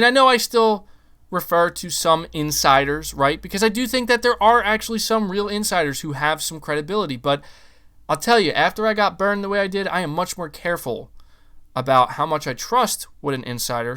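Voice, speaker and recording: A young man hosts a gaming podcast and rants in loose, casual talk, swearing now and then as he gets worked up. His voice sits a little high and comes through smooth and resonant, though it is starting to go hoarse from all the talking. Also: sharp tone. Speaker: podcast host.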